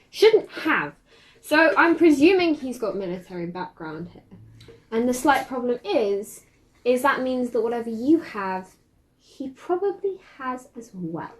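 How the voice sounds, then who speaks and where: A woman's voice: mid-range voice, a little mellow, low-quality recording. A woman playing a tabletop game, making her case in long, pointed run-on sentences. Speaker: player. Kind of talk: in-character roleplay debate.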